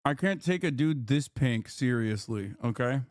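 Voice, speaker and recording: A male streamer gives casual, off-the-cuff commentary in a close, dry-sounding recording. His pitch sits in an ordinary middle range. He talks in slang, swears freely and mocks as he goes. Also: animated, unhurried, no echo. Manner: profane, mocking, slangy. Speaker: streamer reacting to a news clip.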